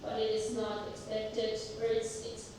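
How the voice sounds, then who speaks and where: A woman with a Finnish accent, a native Finnish speaker, moderating a seminar and talking slowly and hesitantly with many fillers. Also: on the high side, completely flat delivery. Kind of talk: personal talk at a seminar. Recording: echoey hall, mic far off, noisy.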